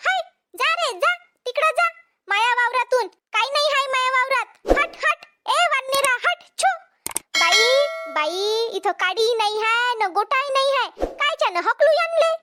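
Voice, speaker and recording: A woman voicing an excitable, exasperated village farm wife in a cartoon comedy, with a Vidarbha Marathi accent and an exclamatory, complaining way of talking. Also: extremely high pitch, exaggerated voice.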